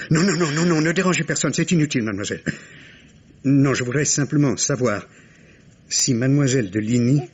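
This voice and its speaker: Guy playing nervous middle aged professor dad in old radio mystery, stammery repeats, medium pitch, muffled old tape. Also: lightly acted. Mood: tense, urgent. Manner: halting, repeats words under strain. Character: anxious professor, worried father hiding his fear. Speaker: actor in a radio mystery play. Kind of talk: old French radio drama acting. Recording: dull lo-fi vintage radio, clear hiss.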